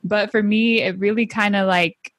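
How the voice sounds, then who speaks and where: A woman's voice: mid-range voice, moderate pace, no room sound. Chatty young woman, dry sound. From San Diego, Honduran family. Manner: chatty, heavy use of "like", animated quoting. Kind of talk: casual chat.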